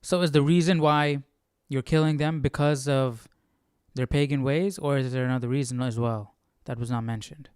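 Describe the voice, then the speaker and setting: A man's voice: mid-range voice, fairly even intonation, moderate pace, a little mellow, clear, resonant tone, dry recording, studio quality. A man hosting a Bible study stream, talking through scripture casually and musingly, often checking in with rhetorical questions. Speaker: host of a Bible study livestream. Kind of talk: casual conversational scripture commentary.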